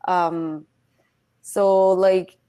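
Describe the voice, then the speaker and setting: A woman's voice: lowish voice, level tone, very slow, mellow, clear, resonant tone. A young woman graduate student on a panel who talks hesitantly and says "like" often. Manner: hesitant, leans heavily on "like". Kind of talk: casual panel talk. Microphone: video call.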